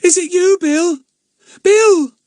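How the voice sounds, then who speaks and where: Man as an excitable high-voiced little girl. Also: moderate pace, no room sound, studio quality. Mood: overjoyed. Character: excitable, affectionate, trusting little girl in adventure story. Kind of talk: audiobook character voice.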